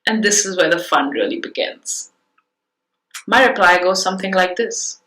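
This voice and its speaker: Young woman from india, punjabi at home, calm reflective talk, roomy echo. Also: medium pitch. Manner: reflective, measured storytelling. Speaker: conference speaker. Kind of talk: prepared talk. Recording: echoey room.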